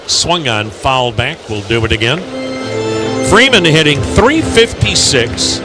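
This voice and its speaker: An American man calling a baseball game live on the radio as play-by-play announcer. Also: a little high. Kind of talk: live radio sports commentary. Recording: radio broadcast over loud ballpark noise.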